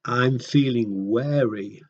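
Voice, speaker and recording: A man's voice: medium pitch, slow pace, highs cut off. A man voicing a grumpy female storybook bird who crossly and emphatically shoos everyone away in rhyme. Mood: wary, cross. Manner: loud, emphatic rhyming refusals.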